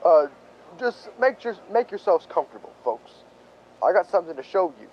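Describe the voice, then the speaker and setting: Radio-drama detective lieutenant, male actor: higher-pitched, animated, halting and mock-humble while slyly needling, on a dull recording. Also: a little hiss or hum. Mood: folksy, sly. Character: folksy, sly police lieutenant toying with suspects. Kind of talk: 1940s radio drama acting.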